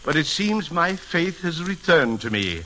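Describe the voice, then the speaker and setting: Pious card-sharp deacon, genteel, falsely innocent, animated pitch, old radio play, noisy vintage recording. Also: male voice, measured pace, heavily acted. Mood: sanctimonious. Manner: genteel, flowery, sanctimonious, feigning hesitant innocence. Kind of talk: old-time radio drama acting.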